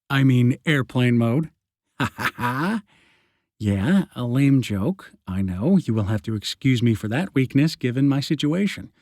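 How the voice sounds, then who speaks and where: A man's voice: mid-range voice, darkish tone, no echo, clean studio sound. A man narrating a first-person science fiction audiobook in a wry, sarcastic, conversational way.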